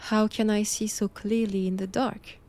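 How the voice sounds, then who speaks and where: A woman with a French accent playing a cold, haughty nun in an intimate roleplay audio drama, speaking deliberately and often dropping toward a whisper. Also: medium pitch, level tone, darkish tone, natural voice. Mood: clinical, commanding. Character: cold, imperious nun examining a captive vampire.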